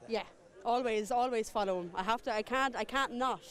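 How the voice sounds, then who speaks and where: A man's voice: extremely high pitch, a little fast, fairly bright tone. A man with a southern Irish accent chatting casually as an interview guest. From Waterford, Ireland. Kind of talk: casual chat. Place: outdoors at a greyhound track.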